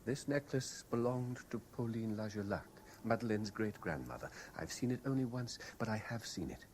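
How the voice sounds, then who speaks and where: A domineering, haunted lover, insistent and brooding. His voice swings widely in pitch, in a 1970s radio play with clear background noise. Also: animated, normal speed, darkish tone. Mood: intense, accusing. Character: obsessed, domineering man haunted by a dead lover. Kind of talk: radio drama acting. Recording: noisy 1970s radio broadcast.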